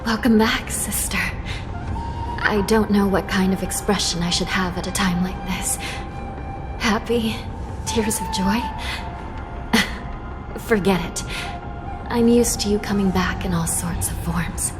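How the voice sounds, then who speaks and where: A woman's voice: medium pitch, fairly even intonation, a little slow, close to an everyday voice. A woman playing a weary, dry, duty-bound young prison judge in a video game, serious and resigned. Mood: wry, resigned. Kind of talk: dramatic video game dialogue. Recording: loud music behind the voice.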